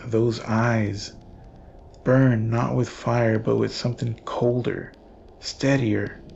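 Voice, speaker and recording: A man narrating a scripted horror story in a solemn, measured, portentous manner with dramatic pauses. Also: lowish voice, creaky, a little mellow, muffled recording, slight background noise.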